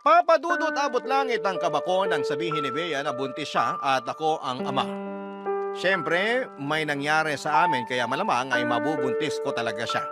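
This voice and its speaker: Man acting a troubled, higher-pitched Filipino narrator. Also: lively intonation, moderate pace, close to an everyday voice. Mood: troubled, reflective. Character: anxious young man narrating his confession letter. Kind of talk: dramatic first-person narration for radio drama. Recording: loud music bed under narration.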